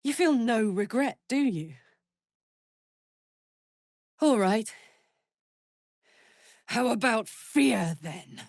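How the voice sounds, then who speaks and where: A fiery tiefling warrior woman for a fantasy game. She speaks slowly and bluntly, wearing her big heart openly. Her pitch moves freely up and down, and the sound is dry with no room around it. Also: lively intonation, darkish tone, no echo, heavily acted. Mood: menacing. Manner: blunt, informal, emotionally open soldier's talk. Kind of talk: video game character voice acting.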